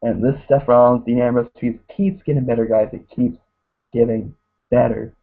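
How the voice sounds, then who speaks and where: Hoarse dude rambling thru a wrestling recap, says guys nonstop, tinny lo-fi audio. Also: male voice, medium pitch, fairly even intonation, normal speed. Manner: mocks wrestlers in put-on voices. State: voice getting hoarse. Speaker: wrestling show reviewer. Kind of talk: casual live review.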